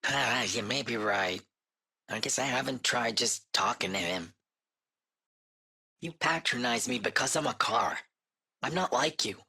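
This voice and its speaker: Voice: medium pitch, lively intonation, a little slow, creaky, pauses often, bright, forceful tone, slightly rough, heavily acted. An actor voicing a bitter, insecure, self-pitying talking car in a video game, blunt and sullen in manner. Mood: sullen, hurt. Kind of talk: scripted video game voice acting.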